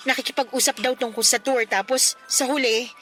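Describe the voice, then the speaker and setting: A woman's voice: sits high, fairly even intonation, a little fast, fairly bright tone, soft background music. An actress playing a concerned best friend in a radio drama, earnest and insistent as she explains and justifies herself. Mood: explaining, defensive. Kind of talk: Filipino radio drama acting.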